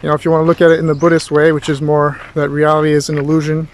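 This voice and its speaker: Male tutor, fairly high voice, informal lecturing, rambling and reflective, some background noise. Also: completely flat delivery, very fast. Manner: rambling, thoughtful, with rhetorical questions. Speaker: philosophy tutor.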